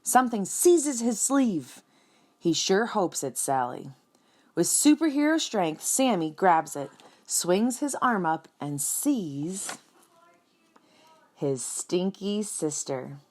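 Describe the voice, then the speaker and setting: A woman reading a picture book aloud for children in an expressive storytelling style, speaking directly and simply to young listeners with dramatic emphasis. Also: mid-range voice, slow pace. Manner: dramatic emphasis, acts out sound words. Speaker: children's read-aloud reader.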